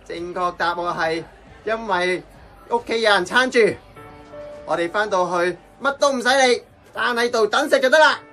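An actor playing a tipsy, brash young man in a Hong Kong Cantonese radio drama, loud and rambling. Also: high-pitched, normal speed, faint music behind. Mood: tipsy, boisterous. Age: young adult.

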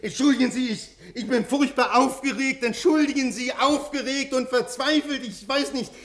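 An actor playing a desperate, aging scholar in a 1950s German radio play, husky and overwrought, pleading and repeating himself. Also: male voice, extremely high pitch, moderate pace, a bit bright.

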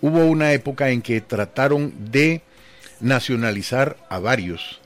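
A man's voice: medium pitch, measured pace. A man, a radio DJ guest telling rambling stories about his life and acting out the people in them. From Guatemala. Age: 60s. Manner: rambling anecdotal storyteller, voices quoted people. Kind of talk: casual radio interview storytelling.

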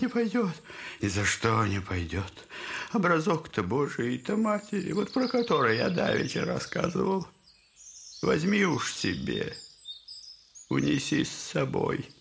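A man's voice: a little high, creaky, a bit bright, slight background noise, exaggerated voice. An actor playing an agitated, pleading old father in an audio drama, repeating himself and lurching between wheedling and outbursts. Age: older.

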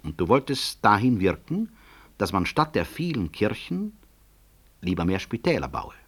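Proud old-school doctor professor, low husky voice, dry irony, radio drama. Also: male voice, big pitch swings, a bit bright, full character voice. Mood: dry, ironic. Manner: measured, dry irony, firm. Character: proud, upright male professor of medicine, ironic and unyielding. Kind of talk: 1960s German radio play acting.

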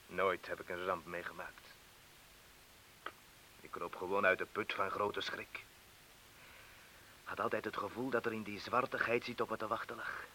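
Radio play: rough ex-miner, boastful but scared, lowish pitch, tense insistent delivery. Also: male voice, level tone, moderate pace, pauses often, sharp tone, rough recording. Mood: weary confession. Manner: gruff, colloquial, insistent, prodding with questions. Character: rough ex-miner, boastful yet fearful, confessing his cowardice. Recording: hissy old radio play recording.

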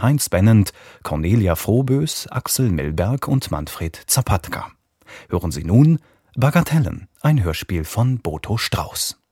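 Man, radio announcer, dark warm tone, lively intonation, scripted introduction. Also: on the low side, slightly rough, dry recording, studio quality.

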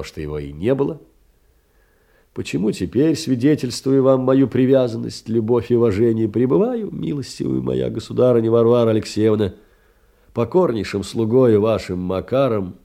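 An actor playing a poor middle-aged clerk, abject, tender and effusive, with old-fashioned courtly phrasing in an audio drama of letters. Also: male voice, sits low, gravelly, mellow. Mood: humbled, wounded pride. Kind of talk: theatrical reading of letters in a stage radio play. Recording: music behind the voice.

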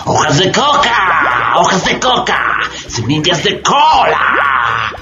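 A man playing an excitable, irritable pharmacist-inventor in a radio drama, muttering and exclaiming in repeated bursts. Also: fairly high pitch, slow, highs cut off, music in the background, heavily acted. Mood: manic elation. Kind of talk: theatrical radio drama acting.

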